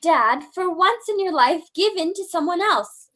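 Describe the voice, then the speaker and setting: An actress playing a young daughter, trembling and fearful. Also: female voice, very high-pitched, animated, a little slow, sharp tone, heavily acted. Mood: pleading. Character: frightened young daughter Edith. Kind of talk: old time radio drama acting.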